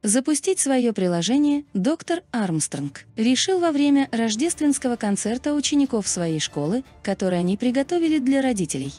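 A woman narrating a scripted video in a measured, explanatory manner. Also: fairly high pitch, big pitch swings, dark tone.

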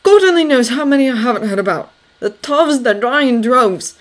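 A woman's voice: high voice, animated, normal speed, voice pushed up. A grieving young servant man, acted by a woman narrator, speaks in raised, agitated tones. He is cross and bitter, throwing out curt British slang with a sarcastic edge.

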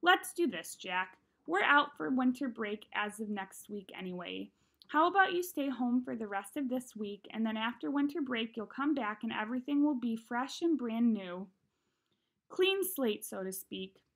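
Storybook male principal played by a female reader: stern yet kindly, measured, forgiving, lightish high register. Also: expressive, a bit bright. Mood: patient, kindly.

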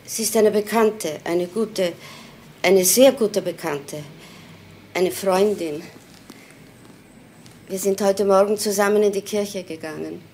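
A woman's voice: mid-range voice, slow, pauses often, a little mellow, slight background noise. An actress playing a guarded housewife and mother in a radio crime play, answering carefully and defensively. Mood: explaining. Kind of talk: German radio crime drama acting.

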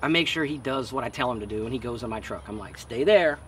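A man's voice: medium pitch, fast talker. A middle-aged American man telling a personal story casually and bluntly, with plenty of profanity and acted-out dialogue, recorded outdoors. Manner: animated, blunt, acts out dialogue. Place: outdoors in a garden. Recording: slightly distant outdoor mic, background noise.

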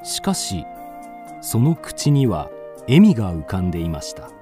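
A man's voice: low voice, very animated, a little slow, warm tone, faint music behind. A man narrating a scripted ghost story in a polite storytelling manner.

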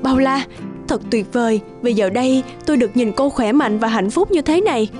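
A woman voicing a caring, gentle, earnest hospital figure in a cartoon. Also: on the high side, moderate pace, lightly acted. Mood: warm, tender. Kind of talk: dubbed cartoon dialogue. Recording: music behind the voice. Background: music.

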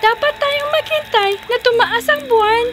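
A woman's voice: extremely high pitch, moderate pace, over loud music, pitched above the performer's own voice. A woman voicing a timid, sweet little girl in a fairy tale cartoon, in an anxious, childlike manner.

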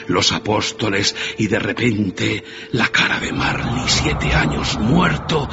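A man's voice: deep voice, lo-fi sound. A man narrating a scripted radio drama as a dramatic storyteller. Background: music.

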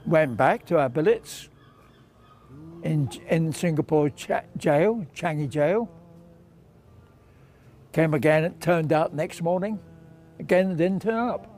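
A man's voice: fairly high pitch, slow pace, long pauses, darkish tone. A man in his nineties, a war veteran, recalling his past for an interview in short phrases, often repeating himself. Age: 90s. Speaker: war veteran, former prisoner of war. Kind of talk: interview reminiscence. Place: outdoors on a bench by a car park.

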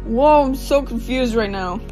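A woman acting a grieving, volatile young man with big ambitions in an amateur radio play, emotional and halting when upset. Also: on the high side, dark tone, faint music behind, close to an everyday voice. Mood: unsure.

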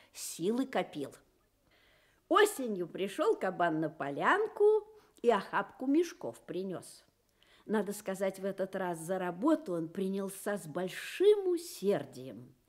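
A woman narrating a children's radio story in an expressive, measured storytelling manner. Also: fairly high pitch.

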